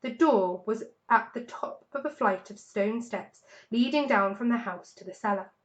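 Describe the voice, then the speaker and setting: A woman with a fairly high voice reads a children's story aloud. Her narration is scripted but expressive, with dramatic emphasis. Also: normal speed, dull audio. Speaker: story reader.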